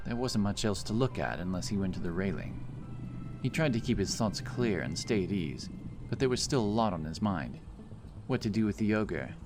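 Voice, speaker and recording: Low-voiced man narrating fantasy. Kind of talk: scripted dramatic fantasy narration.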